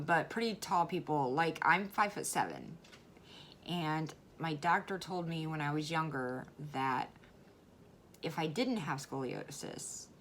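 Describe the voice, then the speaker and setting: A woman vlogger talking casually and earnestly, her voice slightly hoarse, often repeating words and laughing softly. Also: low voice, slight background noise. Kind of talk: casual educational vlog.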